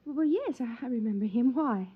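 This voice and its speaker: An American actress playing a timid, wistful young wife in a sci-fi radio drama, speaking softly, hesitantly and breathily. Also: female voice, fairly high pitch, expressive, normal speed. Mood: evasive, wistful. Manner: soft, hesitant, dreamy, breathy. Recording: muffled vintage radio recording, music behind.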